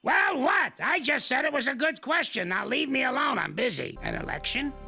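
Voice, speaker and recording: A man's voice: very high voice, lively intonation, normal speed, sharp tone, heavily acted. An actor playing a grumpy, bossy old male ape in a TV cartoon, barking insults and grumbling at everyone. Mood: grouchy. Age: older. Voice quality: gravelly old-man voice. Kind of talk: TV cartoon voice acting. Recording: cartoon soundtrack with music. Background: music score.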